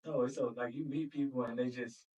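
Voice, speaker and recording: Man, podcast host, mid pitch, off-mic and muffled, loose rambling talk. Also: fairly even intonation, fairly quick, a little mellow. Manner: loose, fills with "like", spiritual talk. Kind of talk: casual chat. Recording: distant, dull off-mic sound.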